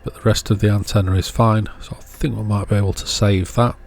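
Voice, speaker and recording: A man with a fairly low voice talks casually through a hands-on repair. He is unscripted, thinking aloud as he works, with music faintly present behind him. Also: steady tone, fast talker, vocal fry, a little mellow. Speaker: host of an electronics repair channel.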